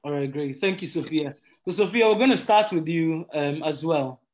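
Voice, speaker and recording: A man's voice: fairly high pitch, normal speed. A man moderates an online panel in a courteous, conversational way, inviting speakers and posing questions. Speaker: webinar moderator.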